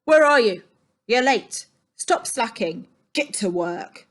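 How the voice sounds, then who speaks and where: A woman voicing a gruff, manic uncle obsessed with fish, barking and chanting in a storybook reading. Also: fairly high pitch, animated, slow pace. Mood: bossy shouting. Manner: barking, chanting, grudging grunts.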